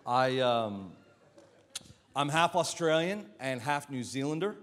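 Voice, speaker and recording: A man with an American accent preaching a lively, joking sermon as a guest pastor, often stumbling and repeating words. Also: mid-range voice, takes it slowly, big-room sound. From Philippines.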